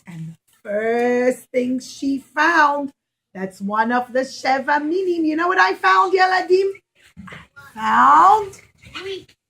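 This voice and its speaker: A woman's voice: sits high, fairly bright tone. A woman storytelling to nursery children as a puppeteer and teacher, asking questions and stretching out key words. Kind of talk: animated storytelling to young children.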